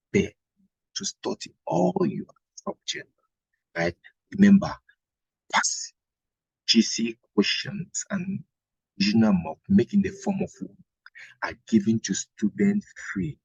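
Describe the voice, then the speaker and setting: Husky Cameroonian man teaching. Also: lowish voice, big pitch swings, measured pace, no echo, dull audio. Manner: didactic, repeats phrases and checks with "right?". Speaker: history teacher. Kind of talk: exam revision lesson.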